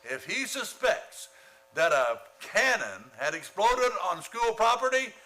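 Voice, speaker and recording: An actor playing a blustering, pompous middle-aged school principal in a comic radio play. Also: male voice, high-pitched, very animated, slow pace, pushed and bright, heavily acted, low-quality recording. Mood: fussy.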